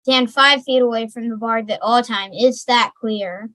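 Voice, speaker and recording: A stern, mocking orderly, acted by a woman at an amateur table read. The voice is fairly high, clipped and commanding, recorded close and dry. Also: steady tone, a little slow, bright, forceful tone, no room sound, rough recording.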